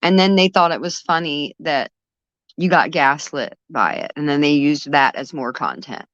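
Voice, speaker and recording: A woman's voice: low voice, normal speed, a bit bright, no echo. A woman chatting casually as a guest on a livestream, heard through dull, lo-fi stream audio.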